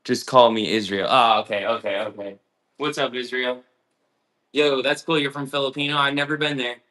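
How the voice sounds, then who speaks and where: A man's voice: medium pitch, steady tone, moderate pace, bright, forceful tone. A young man chatting casually as he bakes, rambling and laid-back, with lots of slang. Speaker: home baker. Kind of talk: casual chat while baking.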